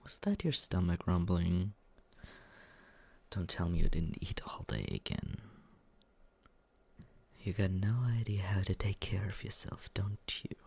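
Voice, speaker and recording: A man playing a smug, condescending but secretly caring young roommate in a teasing ASMR roleplay, with breathy, husky whispering and murmuring. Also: deep voice, a little mellow, heavily acted. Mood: scolding, teasing. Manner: teasing, condescending, slow drawl.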